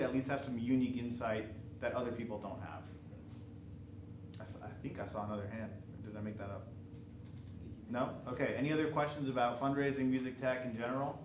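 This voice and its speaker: A man moderating a panel discussion, relaxed, telling stories and swearing casually. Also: medium pitch. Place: event hall. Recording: echoey hall, distant mic, noisy.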